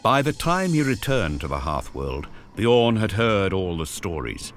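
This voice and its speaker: A man giving scripted dramatic narration, grave and storytelling, with deliberate pauses. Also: on the low side, very animated, faint music behind.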